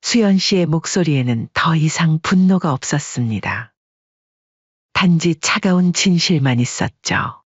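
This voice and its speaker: Measured woman storyteller, husky voice, dry muffled read. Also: low voice, lively intonation, dry recording. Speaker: narrator. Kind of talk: scripted storytelling narration.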